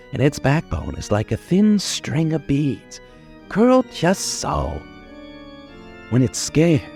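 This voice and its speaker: A man's voice: on the high side, creaky, darkish tone, exaggerated voice. A man playing a boastful, menacing young killer in an old-time radio crime drama, dwelling on his words. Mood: eerie, hushed. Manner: menacing, gloating, dwelling slowly on details. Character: boastful, unhinged young male killer holding police at gunpoint.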